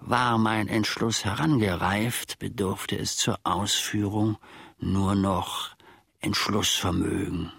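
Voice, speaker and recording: An actor playing a melancholy, self-mocking old manservant in a radio play monologue, ruminating haltingly with long dramatic pauses. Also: male voice, fairly low pitch, fairly even intonation, gravelly, fairly bright tone, husky, no room sound, clean studio sound, full character voice. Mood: brooding, rambling. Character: melancholy, wryly self-mocking old manservant looking back on his life.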